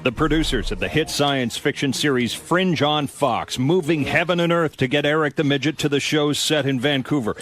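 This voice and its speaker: A man's voice: mid-range voice, fairly bright tone. A man working as a reporter, delivering a scripted news report in clipped headline phrasing. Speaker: newsroom reporter.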